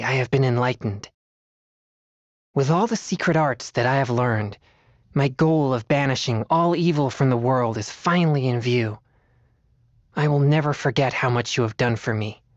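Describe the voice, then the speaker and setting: A man voicing an earnest, disciplined teenage boy exorcist in a video game, speaking in a formal, measured and slightly bashful way. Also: mid-range voice, no room sound, highs cut off, natural voice. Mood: earnest, resolute. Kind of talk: video game character voice lines.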